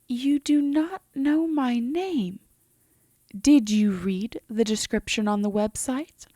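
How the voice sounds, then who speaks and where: A woman playing a composed, teasing female demon in an intimate roleplay audio drama, often slipping into a breathy half-whisper. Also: fairly high pitch, takes it slowly, dark tone, no room sound, studio quality. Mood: smug, teasing.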